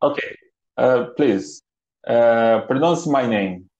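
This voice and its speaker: Halting Brazilian man learning English. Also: mid-range voice, expressive, darkish tone. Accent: Brazilian Portuguese. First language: Portuguese. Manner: halting, searching for words with many restarts. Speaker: English student. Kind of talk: online lesson chat. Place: home office with bookshelves. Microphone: laptop webcam mic on a video call. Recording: roomy, dull video-call audio.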